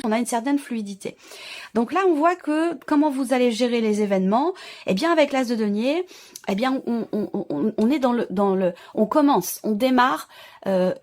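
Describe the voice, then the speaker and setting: A woman's voice: a little high, a little mellow. A woman giving an informal tarot reading to viewers, explaining in a reassuring way while searching for words and repeating herself. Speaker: tarot reader and channel host.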